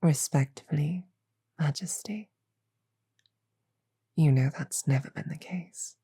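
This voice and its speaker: A soft-spoken demoness archer acted by a woman in an audio drama, deferential and quietly affectionate. Her voice is low and mellow, with a hushed, gentle delivery at a very slow, measured pace. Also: slightly rough, full character voice. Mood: calm, respectful.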